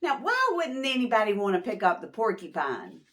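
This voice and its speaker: A woman reading a picture book expressively to preschoolers, pausing to question and explain. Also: mid-range voice, huge pitch swings, fairly bright tone. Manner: pauses to question and explain to young listeners. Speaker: children's storytime host.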